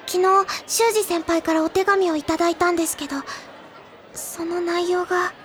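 Very high-pitched bubbly schoolgirl. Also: steady tone, normal speed, faint music behind. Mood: troubled. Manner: chirpy, effusive, polite. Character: bubbly, bashful younger high-school girl. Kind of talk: Japanese audio drama acting.

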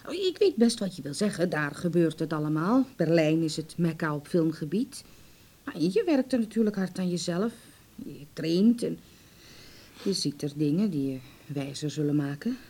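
A woman's voice: low voice, very animated, normal speed, warm tone, exaggerated voice. An actress playing an anxious, devoted middle-aged mother in a naturalistic radio play, with a hesitant, sighing, pleading way of talking. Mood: worried, reproachful.

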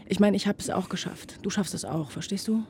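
A woman's voice: mid-range voice, brisk, noisy background, close to an everyday voice. An actress playing an earnest, idealistic young female student in a naturalistic radio play. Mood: earnest, reassuring.

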